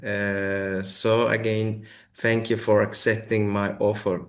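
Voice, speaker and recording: A man hosting an interview, asking questions in careful, halting English with many pauses. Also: lowish voice, level tone. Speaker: host, interviewer.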